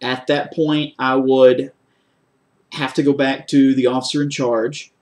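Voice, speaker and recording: A man's voice: mid-range voice, flat delivery, darkish tone. Man giving methodical job interview answers. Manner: measured, methodical, explains step by step. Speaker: job candidate for a security officer post.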